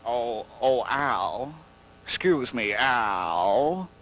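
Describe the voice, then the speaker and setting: A man's voice: medium pitch, animated, very slow, a bit bright. A man voicing a hip, laid-back tiger in a puppet show, talking in cool-dude slang. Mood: cool, curious. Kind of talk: puppet show character acting.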